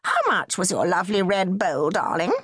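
A woman's voice: lowish voice, normal speed, fairly bright tone, husky, no echo, studio quality. A woman voicing a frank, jolly upper-class older woman who speaks plummily and says exactly what she thinks. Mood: breezy. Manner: plummy, blunt.

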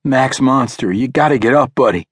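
A man's voice: mid-range voice, normal speed, slightly rough, no echo, voice pushed up, lightly acted. A man playing a desperate, protective father in a zombie battle, pleading urgently in everyday words. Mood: weak, urgent.

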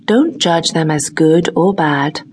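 A woman with a lowish, distinctly husky voice reads a guided meditation. She speaks gently and takes her time, setting short phrases apart with long pauses. The delivery is that of a scripted relaxation audiobook. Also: lively intonation.

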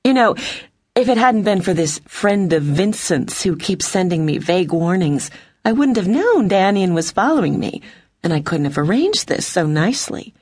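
A woman's voice: on the low side, sing-song, moderate pace, a little mellow, husky, pitched above the performer's own voice. A woman voicing a sadistic, gloating female warship commander in a space audiobook, menacing and smug.

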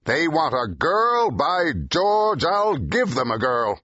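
An actor playing a brash, driven film director in an audiobook drama, with a growling, forceful, emphatic delivery. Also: male voice, sing-song, dry recording, dull audio, exaggerated voice. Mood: indignant, ranting. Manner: forceful, emphatic, fast-tongued pitchman. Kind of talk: full-cast audiobook acting, period 1930s dialogue.

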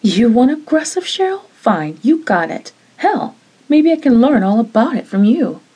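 A woman's voice: mid-range voice, big pitch swings, extremely slow, husky, heavily acted. A woman voicing a timid young female roommate who snaps into curt, cursing fury. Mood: furious.

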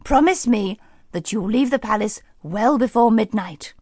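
A woman's voice: a little high, expressive, measured pace, warm tone, dry recording, clean studio sound, voice pushed up. A woman voicing a kindly, bustling old fairy godmother who talks in a cosy, motherly way. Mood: serious.

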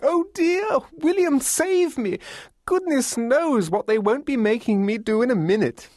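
A man voicing a fussy, genteel older gentleman in an audiobook, shrill and theatrical, exclaiming with masochistic glee. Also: animated, unhurried, no echo, studio quality. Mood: delighted panic. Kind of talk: dramatised audiobook reading.

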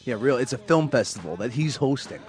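A man co-hosting a radio talk show, chiming in from off-mic with quick wisecracks. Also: medium pitch, animated, faint music behind. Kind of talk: casual radio banter.

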